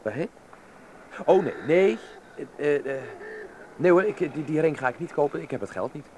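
A man playing an earnest, sensitive young man in a radio drama, halting and polite. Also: on the high side, animated, darkish tone, a little hiss or hum, close to an everyday voice, poor audio. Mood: reluctant, pleading. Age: young adult.

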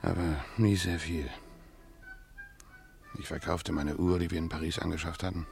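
A brooding, ruined gambler in a radio play. His voice is low and creaky, halting and musing, with resigned, bitter irony. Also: little pitch movement, a little mellow, soft background music. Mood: bitter reminiscing. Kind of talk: German radio drama acting.

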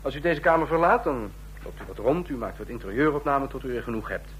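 An actor in a radio play playing a stern, condescending ambassador of a fascist state, speaking in formal, measured officialese. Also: male voice, medium pitch, lively intonation, natural voice. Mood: businesslike, commanding. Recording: clear hum and noise, old radio recording.

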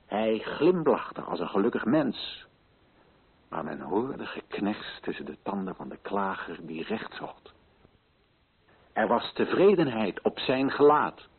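A muffled, lo-fi old radio drama recording of an earnest colonial official. His voice is husky and swings widely in pitch as he declaims in formal, righteous, solemn phrases. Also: full character voice. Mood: solemn, grave. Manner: declamatory, formal, rhetorical questioning. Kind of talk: theatrical radio drama oratory.

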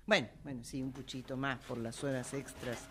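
A woman acting a hot-tempered, impulsive, emotional Argentine woman in an amateur radio play, haltingly excusing her rages and repeating words when flustered. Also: extremely low pitch, fairly even intonation, slight background noise. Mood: defensive, flustered.

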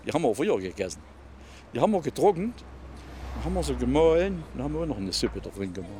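A man's voice: mid-range voice, big pitch swings, fast talker, warm tone, a little hiss or hum. An older man talking spontaneously and emphatically as an interviewee, often repeating his phrases for weight. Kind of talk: spontaneous opinionated talk.

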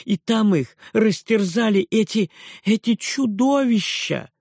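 Guy doing a high shrill dramatic auntie, loud and fussy. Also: sing-song, slow, vocal fry, breathy edge, no echo, studio quality, heavily acted. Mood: hysterical. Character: hysterical fussy aunt, middle-aged woman.

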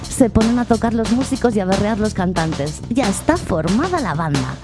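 A woman narrating a scripted radio piece in a wry, deadpan way. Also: mid-range voice, moderate pace, over music.

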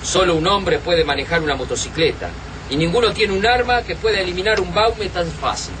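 A man's voice: high voice, fairly quick, distant mic, background noise, natural voice. A man with an Argentine Rioplatense accent acting a level-headed survivor of an invasion in a radio drama. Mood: grave.

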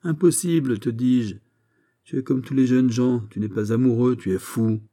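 A middle-aged musketeer captain from a historical novel, performed by a male narrator. He is gruff and fatherly, given to mocking outbursts. His timbre is very dark and soft-edged. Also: on the low side, moderate pace, close to an everyday voice. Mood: teasing scepticism. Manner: blustering, exclamatory, mocking. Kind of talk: dramatised audiobook of a historical novel.

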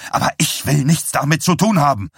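A man's voice: mid-range voice, lively intonation, normal speed, no room sound, studio quality. Barking, fed-up husband, as a male narrator plays him. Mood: furious. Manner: barking, forceful, scolding. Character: enraged limping husband, fed up with wife's zealotry. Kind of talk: dramatised audiobook dialogue.

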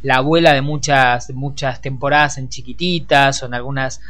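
A man's voice: mid-range voice, steady tone, normal speed, fairly bright tone. A man with an Argentine accent hosting a radio show, giving informal, reflective, admiring commentary. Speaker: radio host. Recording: faint background noise.

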